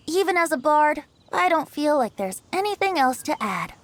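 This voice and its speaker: A boyish bard from a video game, high-voiced and very melodic in delivery. He is playful, theatrical and self-assured, with florid phrasing and a faint noise behind. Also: sing-song, normal speed.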